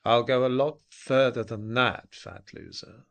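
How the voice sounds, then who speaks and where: A man's voice: mid-range voice, fairly even intonation, a little slow, fairly bright tone. A man voicing a smug, dismissive former schoolmate with a curt, cutting manner in an audio story. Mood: scornful.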